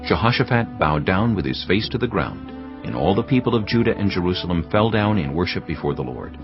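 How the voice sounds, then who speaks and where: A man narrating scripture in a measured, expressive storytelling voice. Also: deep voice. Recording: slightly muffled recording with faint music.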